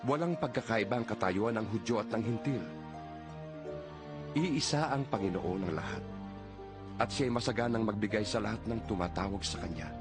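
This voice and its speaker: A man reads scripture as a dramatized audio Bible narrator, in an earnest, measured, expository way. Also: lowish voice. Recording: music under the voice. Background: background music.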